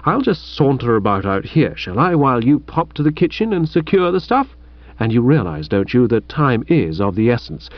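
A man playing a breezy, coaxing young gentleman. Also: medium pitch, moderate pace, dry recording, lightly acted. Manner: ingratiating, chummy, flattering. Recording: dull muffled recording.

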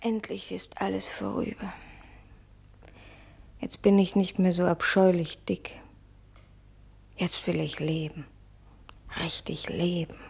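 Amanda, a breathy, restless young wife. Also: female voice, fairly low pitch, steady tone, a little mellow, full character voice. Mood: weary, yearning. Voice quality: breathy, often sinking into whisper. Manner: dreamy, intense, drawn-out phrases. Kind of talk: German radio play acting.

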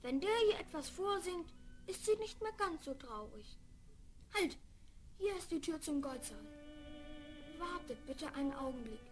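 A woman's voice: sits high, lively intonation, a little slow, long pauses, soft background music. A woman playing a polite, dutiful little page boy in a children's fairy-tale audio play, courteous as they explain things. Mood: polite, eager. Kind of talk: 1970s German children's audio play acting.

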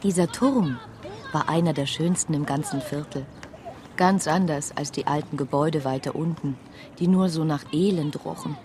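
An actress playing a sombre woman who narrates in a radio drama, measured and restrained, with a husky voice. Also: low voice, darkish tone, a little hiss or hum, lightly acted. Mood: matter-of-fact.

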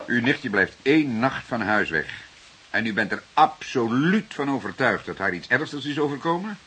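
A middle-aged actor playing a calm, patient senior detective in a crime drama, questioning in a deliberate, musing, hesitant way. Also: male voice, mid-range voice. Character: calm, patient senior male police detective, gently probing. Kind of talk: naturalistic crime drama acting. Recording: hissy recording, faint noise.

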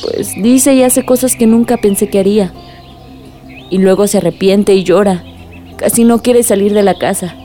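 Actriz como joven preocupada, voz suave y cálida. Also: female voice, medium pitch, normal speed, mellow, faint music behind, close to an everyday voice. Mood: troubled, weary. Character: troubled, weary young village woman, caring and independent. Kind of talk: radio drama acting.

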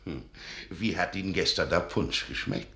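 An actor playing a sly old archivist, secretly a salamander spirit, deliberate and amused in an echoey radio play scene. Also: male voice, low voice, sharp tone. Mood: sly amusement. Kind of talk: German radio play acting.

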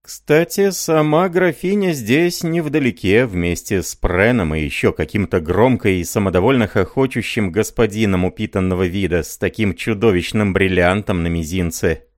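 A man narrating a novel in a measured, literary reading. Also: deep voice, dry recording, clean studio sound.

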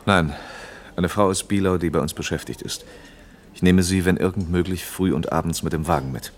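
A man acting in a German radio drama as an earnest, firm factory director, speaking in a measured, reasoning manner. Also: deep voice. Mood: matter-of-fact.

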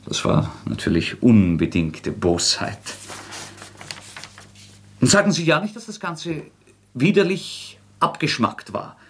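An actor playing a proud young intellectual murderer in a radio drama, sarcastic, agitated and theatrical, defiant and brittle. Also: male voice, medium pitch, huge pitch swings, takes it slowly, long pauses, a little hiss or hum, heavily acted. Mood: feverish boasting. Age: young adult. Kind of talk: German radio drama acting.

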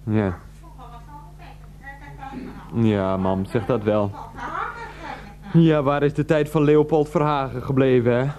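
An actor playing a henpecked grown son in a radio play, placating and halting as he talks to his mother. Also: mid-range voice, dark tone, natural voice. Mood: indignant, deferential.

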